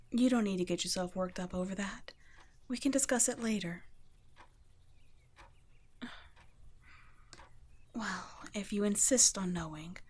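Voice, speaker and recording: A woman playing a gentle, protective vampire caretaker in an intimate ASMR roleplay, speaking softly and breathily, often nearly whispering. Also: mid-range voice. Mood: hesitant, serious. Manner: soft, breathy, tender, often dropping to a whisper.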